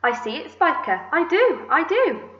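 Woman playing greedy fat aunt, high-pitched, gushing, exclamatory, storybook reading, echoey and slightly dull. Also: lively intonation, normal speed, a bit bright. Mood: excited. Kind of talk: children's storybook read-aloud.

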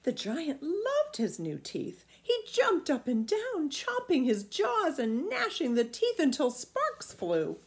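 A woman's voice: sits high, huge pitch swings, slow pace, few pauses. A middle-aged woman reading a children's storybook aloud expressively, recorded in a room at home. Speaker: storybook reader. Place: small indoor room at home.

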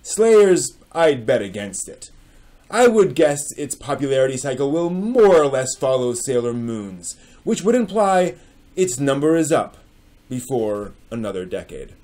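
A man in his forties presents in an emphatic, slightly theatrical way. His medium-pitched voice moves up and down a lot as he stresses key words. He sounds like a host doing a dramatic reading with casual commentary in a room at home. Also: very animated, a little slow, mellow. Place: small furnished room at home with bookshelves.

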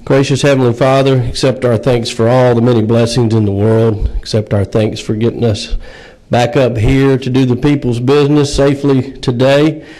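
A man leading a short opening prayer in a committee room, in a steady, solemn way. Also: mid-range voice, moderate pace, few pauses, poor audio.

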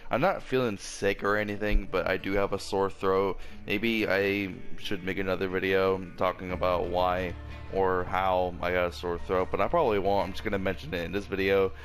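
A man's voice: low voice, flat delivery, moderate pace. A man with a sore throat reviewing an anime episode in a casual, rambling way, full of fillers and restarts. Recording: faint background music.

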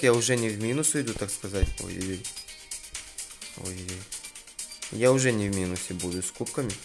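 A slightly hoarse man with a lowish voice talks through his gameplay in a loose, chatty way, thinking out loud and restarting words now and then. Game music plays clearly behind him throughout. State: slightly hoarse from a sore throat. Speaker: gaming YouTuber. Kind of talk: casual gameplay commentary.

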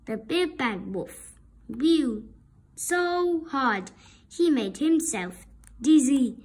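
A woman reading a children's story aloud with deliberate, expressive narration. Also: high-pitched. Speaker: storybook reader.

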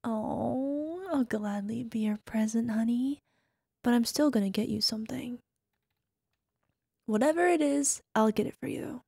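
Slow, creaky-voiced teasing wife, acted by a woman. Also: on the high side, no echo, studio quality, exaggerated voice. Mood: flirty, tender.

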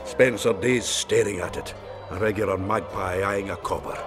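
A man's voice: sits low, normal speed, over music, natural voice. An actor playing a bawdy, good-humoured fantasy townsman in a video game, with an earthy, wry, chatty manner. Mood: wry, jovial. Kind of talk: video game character acting.

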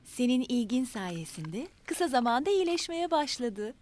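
A woman playing a gentle, caring mother in a children's cartoon, explaining things tenderly and patiently. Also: fairly high pitch, big pitch swings, measured pace, background noise. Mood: reassuring. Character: gentle, caring young mother of a little boy.

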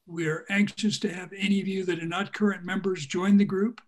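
A man in his seventies speaking in a measured, deliberate way as a panelist on a video call. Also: sits high, level tone. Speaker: panelist, circus music society representative. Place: small room at home, indoors. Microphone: computer mic on a video call.

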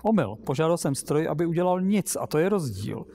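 A man's voice: on the high side, big pitch swings, gravelly, mellow, voice pushed up, heavily acted. Man voicing a fussy, dismissive robot rival. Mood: pedantic, triumphant. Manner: pedantic, dismissive. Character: cool, fussy, hard-to-please male robot rival inventor. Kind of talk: storybook reading.

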